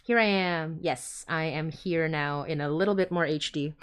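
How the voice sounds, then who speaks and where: A woman's voice: sits low, moderate pace, smooth. A woman hosting a casual livestream, chatting informally and often interrupting herself as she goes. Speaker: podcast host and creator.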